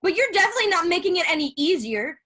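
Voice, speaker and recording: A woman acting an insecure, hurt younger sister fresh out of rehab in a stage play, speaking in a halting, emotional way. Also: very high voice, very animated, pushed and bright, heavily acted. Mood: angry, exasperated. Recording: live stage recording.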